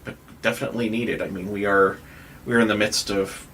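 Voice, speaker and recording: A man's voice: on the low side, steady tone, fairly quick, fairly bright tone, slight background noise. An American man hosting a podcast, chatting and interviewing in an informal, loosely phrased way. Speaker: podcast host. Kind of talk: casual podcast chat and interviewing.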